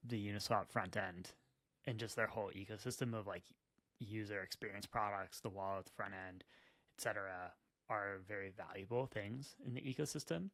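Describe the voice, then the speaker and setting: A man co-hosting a podcast, wry and quick-witted, giving his opinions in casual chat. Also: lowish voice, fairly bright tone, no echo. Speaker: podcast co-host. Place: Utah.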